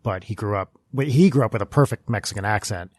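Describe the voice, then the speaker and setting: A man from North Jersey with a mild Northeastern American accent, a physicist guest speaking in relaxed, casual conversation. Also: fairly low pitch, fast, no room sound. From North Jersey, USA. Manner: relaxed, conversational, restarts often. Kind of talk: casual panel chat.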